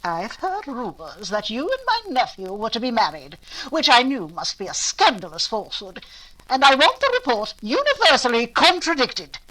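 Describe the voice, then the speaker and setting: Haughty older noblewoman, bossy and pompous, swoopy bright voice, old radio play style. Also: on the high side, wildly swooping pitch, normal speed, heavily acted, rough recording. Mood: indignant, imperious. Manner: pompous, commanding, clipped formal speech. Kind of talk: recreated 1940s radio drama acting.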